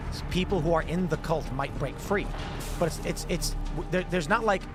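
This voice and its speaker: A man's voice: fairly high pitch, music in the background. A man hosting a casual political talk show, reading news aloud and often restarting his sentences. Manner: halting, many restarts. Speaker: podcast host.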